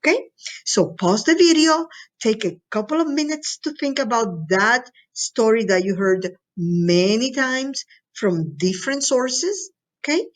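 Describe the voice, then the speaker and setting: A woman's voice: a little high, lively intonation, unhurried. A woman from Latin America teaching a recorded lesson to students in an encouraging, instructional way, often checking in with them.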